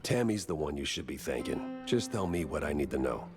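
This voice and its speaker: A man voicing a hard-boiled, cynical mouse private eye in a 1930s noir cartoon game, with a gravelly, dry, sardonic delivery. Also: very deep voice, sing-song, brisk. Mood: cool, sardonic. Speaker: private investigator. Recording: voice over music.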